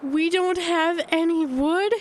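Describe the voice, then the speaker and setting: High-pitched, anxious woman. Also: steady tone, slow, a little hiss or hum. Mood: tense, controlled hysteria. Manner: dramatic, emphatic, repeating phrases. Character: anxious, high-strung Florida woman on a wilderness getaway. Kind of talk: audio drama acting with inner monologue.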